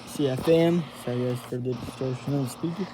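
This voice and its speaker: A man giving a casual hands-on product review, thinking aloud in a halting way as he demonstrates. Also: medium pitch. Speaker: amateur gadget reviewer. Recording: noisy homemade recording with radio static.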